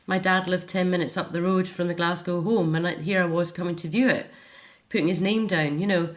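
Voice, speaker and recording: Middle aged lady guest on radio, slightly low voice, chatty but reflective, candid and emphatic telling her own story. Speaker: interview guest, author. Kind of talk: candid personal account in a radio interview.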